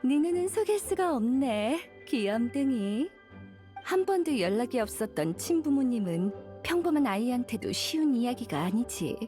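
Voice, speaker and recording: A woman playing an elegant, languid witch librarian in an anime game, coy and unhurried, with a gently caring, teasing manner. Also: a little high, close to an everyday voice. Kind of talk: Korean anime game voice acting.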